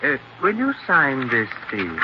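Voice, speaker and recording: An actor playing a genial, smooth-talking husband who is secretly a schemer, in a fussy, polite 1940s radio style. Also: male voice, medium pitch, wildly swooping pitch, measured pace. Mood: jovial, coaxing. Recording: noisy, dull 1940s radio recording.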